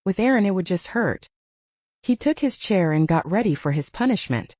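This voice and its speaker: A woman's voice: mid-range voice, expressive, normal speed, a little mellow, dry recording, highs cut off. A woman reading scripted fiction as an audiobook narrator.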